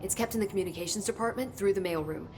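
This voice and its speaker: An actress playing an earnest, knowledgeable government researcher in a video game, explaining in a measured, understated way. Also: female voice, mid-range voice, a little mellow, slight background noise, natural voice. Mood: helpful, informative. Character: earnest, knowledgeable female government agency researcher, briefing her director. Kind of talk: video game cutscene acting.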